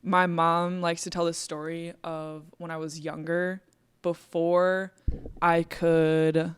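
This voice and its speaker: Young woman with a smooth, clear voice. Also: fairly low pitch, slow, dry recording. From Minnesota, USA. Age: 20s. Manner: animated storyteller, laughs easily. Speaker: illustrator guest. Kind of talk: casual conversational interview.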